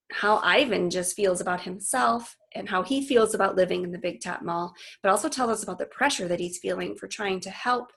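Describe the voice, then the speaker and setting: Woman reading aloud, slightly gravelly and husky. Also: mid-range voice. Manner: explanatory, prompting listeners with questions. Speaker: teacher reading a novel aloud to students. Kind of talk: expressive read-aloud with lesson commentary.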